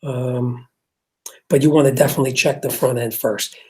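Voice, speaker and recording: A middle-aged man with a New Jersey accent chats casually on a livestream, answering car questions from viewers. His voice is a little husky, and he talks in an easygoing way full of fillers and restarts. His pitch sits in a normal range for a man. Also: fairly even intonation, moderate pace, dark tone. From Jersey City, New Jersey. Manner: conversational, reads chat comments aloud, frequent fillers and restarts. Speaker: host and auto mechanic answering viewer questions.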